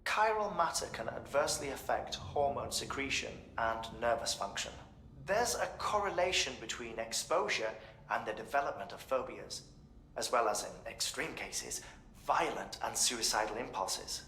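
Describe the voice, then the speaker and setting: A man's voice: medium pitch, lively intonation, moderate pace, pushed and bright, reverberant, a little hiss or hum, low-quality recording. A man acting a scholarly researcher in a video game, explaining things in a formal, articulate, clinical manner. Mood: formal, matter-of-fact.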